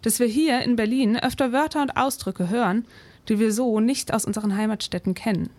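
A woman co-hosting a campus radio show, presenting topics in a calm, casual way. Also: on the high side, a little fast, no room sound.

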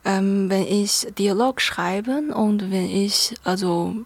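Young female playwright interviewee, Chinese accent, unhurried, creaky voice, searching phrasing. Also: medium pitch, no room sound. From China. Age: young adult. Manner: searching, non-native phrasing. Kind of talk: reflective interview talk.

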